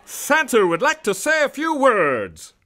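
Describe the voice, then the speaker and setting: A man voicing a showy, excitable male elf who proclaims events like a ring announcer in a Christmas story. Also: very high voice, measured pace, exaggerated voice. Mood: commanding.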